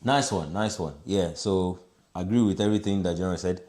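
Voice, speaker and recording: A man's voice: sits low, a little fast, warm tone. A man with a Nigerian accent hosting a reaction video in casual chat, slipping into Yoruba and Pidgin. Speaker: host of a challenge reaction video.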